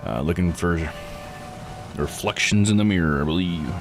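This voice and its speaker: A man streaming a game, giving casual playthrough commentary as he thinks out loud and reacts. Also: low voice, normal speed. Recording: game music behind the voice. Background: game music.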